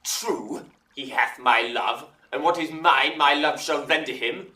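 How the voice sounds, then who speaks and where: A man's voice: on the high side, measured pace, sharp tone. An actor playing an angry, possessive old father in a Shakespearean audio drama, declaiming with indignation. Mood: scornful. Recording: roomy echo, distant from mic.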